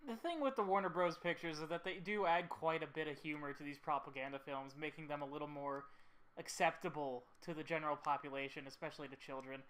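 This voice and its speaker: A man giving casual, slightly halting commentary as host of a video about an old film. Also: a little high, fairly even intonation, fairly bright tone, faint music behind, poor audio.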